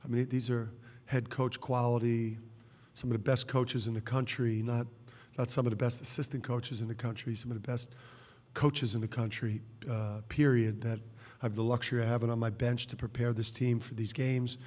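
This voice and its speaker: Blunt coach, flat voice, echoey room. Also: male voice, medium pitch, moderate pace. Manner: blunt, emphatic, many restarts and repeated words. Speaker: basketball head coach. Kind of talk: postgame press conference answers. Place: press conference room. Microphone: table microphone. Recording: reverberant press room.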